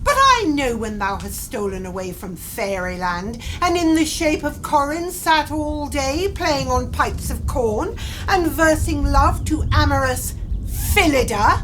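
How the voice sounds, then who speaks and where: A proud fairy queen, acted by a woman, high and sweeping in pitch. She declaims theatrical verse slowly and haughtily, over faint background noise. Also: lively intonation, a bit bright, rough recording. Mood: haughty, indignant. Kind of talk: theatrical Shakespearean verse acting.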